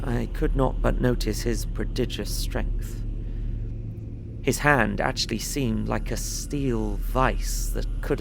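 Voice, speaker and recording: Gravelly, frightened young solicitor. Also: male voice, mid-range voice, slight background noise. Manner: formal, expressive, deliberate storytelling. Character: frightened, earnest young English solicitor recounting his journey. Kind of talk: dramatic audio-drama diary narration. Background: music behind the voice.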